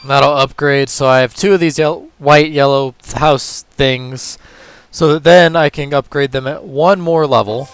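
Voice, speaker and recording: A man with a hoarse, tired voice, casually talking through a puzzle game move by move as a gaming channel host. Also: mid-range voice, measured pace, dry recording, soft background music. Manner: relaxed, talks through moves step by step. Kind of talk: casual gameplay commentary.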